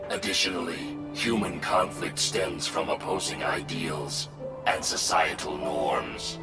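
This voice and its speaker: A man voicing a calm, analytical AI machine in a video game, with stiff, formal, precise diction and synthetic processing. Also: a bass voice, heavily acted. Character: robotic AI wolf machine, calm, analytical, literal-minded. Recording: echoing processed voice under loud music.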